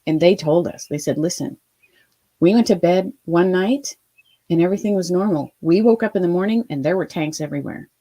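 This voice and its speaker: A woman in her fifties from Ohio, a guest telling her life story in an interview, animated and full of quoted asides. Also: on the low side, brisk. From Ohio, USA.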